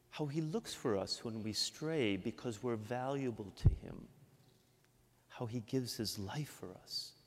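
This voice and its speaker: A Midwestern American man from Michigan preaching a sermon as a priest, talking in a conversational way and often adding "right?". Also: mid-range voice. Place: church. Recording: long church reverb.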